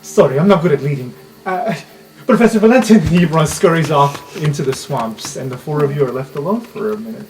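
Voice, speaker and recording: A man's voice: fairly high pitch, lively intonation, fairly quick, a little mellow, faint music behind, rough recording. A man acting as game master, narrating an improvised tabletop game.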